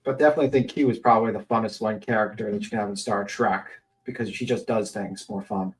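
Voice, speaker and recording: A man's voice: on the low side, fairly even intonation, fast talker. A man in casual panel chat who jokes, dodges questions and rambles. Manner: joking, evasive, rambling. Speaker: panel guest.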